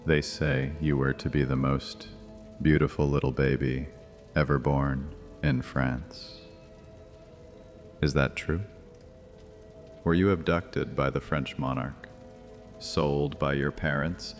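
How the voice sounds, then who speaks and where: An actor playing a menacing, mocking vampire high priest in an audio drama, speaking in a taunting, theatrical, deliberate way. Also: male voice, steady tone. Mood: probing, mocking. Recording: long hall reverb, music underneath.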